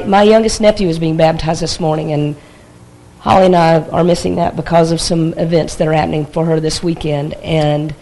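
A church discussion group member sharing a reflection in a halting, thoughtful way, often restarting sentences. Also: medium pitch, a little mellow, rough recording.

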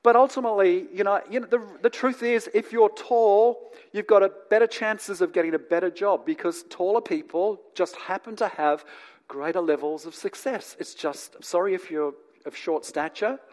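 A man from England who grew up in New Zealand and lives in Australia, preaching in a conversational, teacherly way with asides to his listeners and deliberate pauses. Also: high-pitched, darkish tone. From born in England, grew up in New Zealand, lives in Australia. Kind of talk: conversational sermon to an audience.

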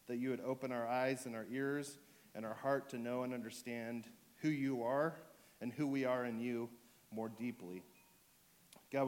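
A man with a sore throat and a fading voice, preaching to his church in a relaxed way, full of ums and restarts. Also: mid-range voice. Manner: joking, relaxed, with fillers and restarts. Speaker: pastor. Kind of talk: sermon.

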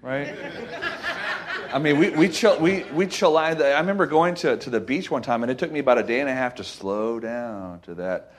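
A man giving an informal, conversational sermon as a preacher, folksy and halting, repeating phrases and checking with his listeners for agreement. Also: a little high, a little hiss or hum. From Costa Rica.

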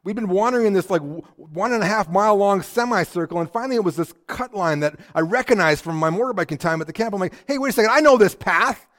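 Animated pastor with a higher voice. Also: male voice, fast, hardly any pauses. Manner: animated storytelling, swings from loud to hushed; repeats words when starting sentences. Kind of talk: sermon.

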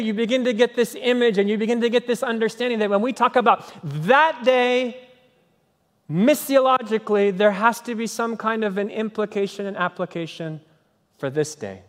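A man preaching a sermon to a congregation, animated and conversational, often checking in with "right?". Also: high voice, moderate pace, in a large hall. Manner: animated preaching, tag questions and sung snippets. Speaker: pastor. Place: church sanctuary.

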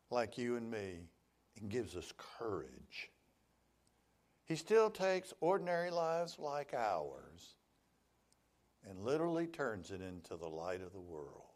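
A male pastor preaching a sermon, telling stories and voicing the people he quotes. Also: lowish voice.

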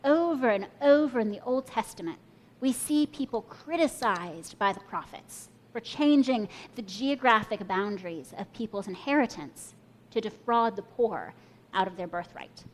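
A woman's voice: a little high, lively intonation, normal speed. A young woman preaching a sermon, telling a personal story and inviting listeners to imagine along with her. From Kenya and the US.

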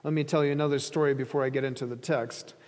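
A man's voice: mid-range voice, fairly even intonation, fast talker, a little mellow. A man from Ukraine preaching a sermon as a pastor, in a conversational storytelling manner. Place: large reverberant room, preaching to an audience. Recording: hall reverb.

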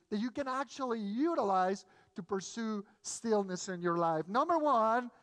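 A man with a Mexican accent, a native Spanish speaker, preaching a sermon in a deliberate, emphatic way, repeating key phrases. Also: very high-pitched, fairly bright tone. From Mexico City, Mexico. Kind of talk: sermon with scripture reading and personal anecdotes. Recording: reverberant hall.

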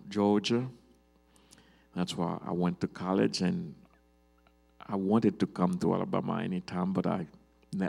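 A man with a Haitian accent, speaking English as a second language, giving an informal church testimony as a guest pastor in a halting, hesitant way. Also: deep voice, creaky, a little mellow. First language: Haitian Creole or French. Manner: halting, hesitant, with repeated restarts.

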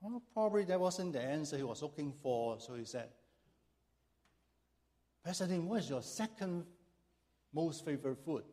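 A man's voice: fairly high pitch, expressive, normal speed, pauses often, warm tone. A middle-aged male pastor from Hong Kong preaching in a church, reading scripture and then telling a humorous personal story with frequent fillers.

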